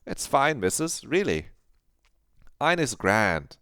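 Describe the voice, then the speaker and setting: A man's voice: medium pitch, sing-song, takes it slowly, a bit bright, smooth, no echo, studio quality, voice pushed up. A man voicing a sullen, guarded teenage schoolgirl who answers curtly and brushes off concern. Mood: evasive. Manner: evasive, curt, defensive.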